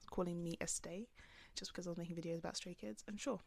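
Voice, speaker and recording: A young woman talking casually to camera in a calm, soft voice, rambling with lots of "like"s. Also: on the low side, fast, gravelly. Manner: rambling, filler-heavy with many "like"s. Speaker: vlogger. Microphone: camera on the speaker.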